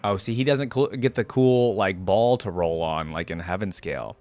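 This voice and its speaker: A man giving casual, slangy, enthusiastic gaming reaction commentary, thinking out loud as he goes. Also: deep voice, moderate pace. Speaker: reaction video host. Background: game music.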